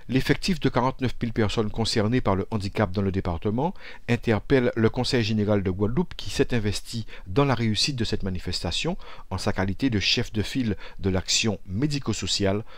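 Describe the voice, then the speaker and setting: Guy doing a news voice-over, lowish voice, dead dry studio sound, reads it slow and formal. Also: no room sound. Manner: measured, formal reading. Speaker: voice-over narrator. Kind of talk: scripted news narration.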